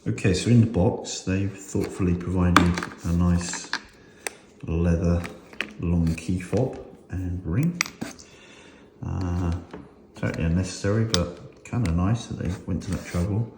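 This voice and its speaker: A man with a very low voice talks through a hands-on gear demonstration in a casual, unscripted way. He thinks aloud a little hesitantly. The recording is noticeably echoey, with faint noise underneath. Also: measured pace. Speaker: motorcycle gear reviewer and host. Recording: roomy echo with faint noise.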